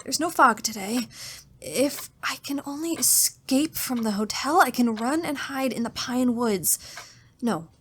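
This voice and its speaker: A woman's voice: high voice, expressive, measured pace, fairly bright tone, breathy edge, exaggerated voice. An actress playing a frightened, timid young woman in a radio thriller, anxious, breathless and stammering. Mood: anxious, resolved. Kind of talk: old-time radio thriller acting.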